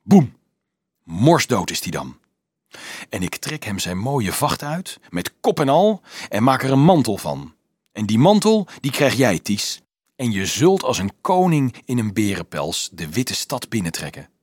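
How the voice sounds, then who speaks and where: A man's voice: mid-range voice, very animated, measured pace, a little mellow, slightly rough, dry recording, studio quality. A man voicing a bold, protective youth in a storybook, telling brave tales with dramatic menace. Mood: menacing bravado.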